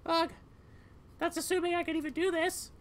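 A woman's voice: very high voice, level tone, normal speed. A woman voicing a tired, sarcastic young female cat in a casual, rambling way for a game. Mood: weary. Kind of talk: fan voice-over of game dialogue.

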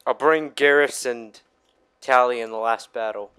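A man's voice: medium pitch, fairly even intonation, a little slow, sharp tone, clear, resonant tone. A man giving casual, goofy commentary as he plays a video game. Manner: goofy, informal. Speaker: gaming video host.

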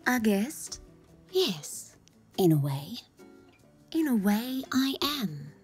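Husky, slow, mysterious woman. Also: on the high side, very animated, darkish tone, faint music behind, heavily acted. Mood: portentous. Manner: slow, theatrical, halting. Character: cryptic, portentous, bumbling mysterious female messenger. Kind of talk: science fiction comedy audio drama.

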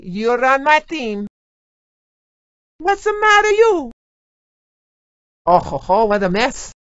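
A woman's voice: high voice, fairly bright tone, no echo, exaggerated voice. A woman playing a doting, teasing Italian-American mama, a theatrical and motherly video game character. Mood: delighted, then scolding, teasing. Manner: theatrical, motherly, uses endearments. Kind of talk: video game character barks, exaggerated and exclamatory. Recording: slightly dull game audio clips.

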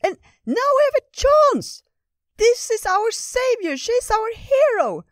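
A woman voicing a small, excitable and devoted talking squirrel in a squeaky, gushing fairytale storybook voice. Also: slow pace, no echo, clean studio sound, heavily acted. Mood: thrilled, hopeful.

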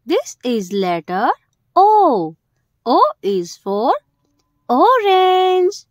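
A woman's voice: high voice, huge pitch swings, very slow, dry recording, clean studio sound. A woman hosting a children's educational video, speaking in simple short phrases and stressing the key words. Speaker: host of a children's alphabet video.

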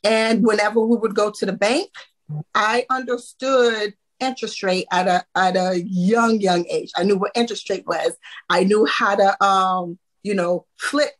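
A woman's voice: medium pitch, fairly even intonation, unhurried, poor audio. Woman telling her story over a video call. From Haiti, raised in Florida. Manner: warm storytelling with frequent "um" and laughs. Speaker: guest, accountant. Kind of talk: casual podcast interview. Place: home room, remote video call.

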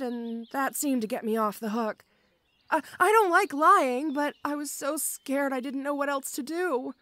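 Audio roleplay: woman as a strong but gentle orc schoolgirl, high voice, stammering and shy, very dry recording. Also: no room sound. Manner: hesitant, stammering, bashful.

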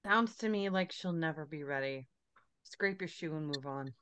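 A woman's voice: deep voice, normal speed, fairly bright tone, a little hiss or hum. A woman playing a blunt, wry character who hands out tough advice in a screenplay table read.

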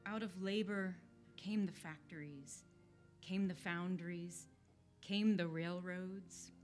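Slow-paced young woman reciting poetry. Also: on the low side, steady tone, a little mellow. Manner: measured, emphatic listing. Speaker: community poetry reader. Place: indoor event room, at a podium. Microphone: podium microphone on a stand. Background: faint music.

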